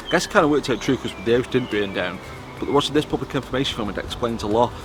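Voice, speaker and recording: Outdoor noise with passing vehicles sits behind a British man vlogging. He talks informally at a medium pitch, telling stories and introducing clips in a chatty way. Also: fast talker. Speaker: vlogger presenting old public information films. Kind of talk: casual commentary to viewers.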